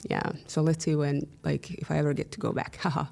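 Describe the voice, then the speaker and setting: A woman's voice: deep voice, steady tone, a little fast, vocal fry, darkish tone, faint music behind. A woman in her thirties, born in Somalia with Somali as her first language, talking casually as a podcast guest, wry and full of "like, you know.". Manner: frequent "like, you know" fillers, wry humor. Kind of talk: casual conversation.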